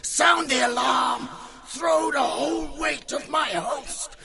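A man's voice: extremely high pitch, slow pace, vocal fry, shouting, crowd noise, voice pushed up, heavily acted. A man voicing a wrathful, imperious evil idol in a bellowing storybook performance, with music underneath. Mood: wrathful, commanding.

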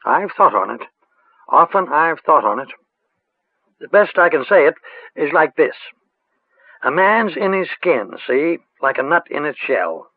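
Old country witch, voiced by a man: rustic, chanting, high and lilting; dry, tinny line. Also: sing-song, unhurried, long pauses, breathy edge, dry recording, full character voice. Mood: musing. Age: older. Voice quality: rustic dialect, sing-song and chanting. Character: old female village witch, rustic and earthy.

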